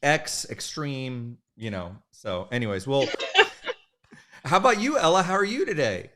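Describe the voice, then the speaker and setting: A man hosting an online art event with a slightly hoarse voice from the flu, chatting casually and rambling, with lots of fillers and jokes at his own expense. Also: medium pitch, big pitch swings, unhurried, pushed and bright. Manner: rambling, filler-heavy and self-joking. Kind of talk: casual livestream chat. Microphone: streaming mic.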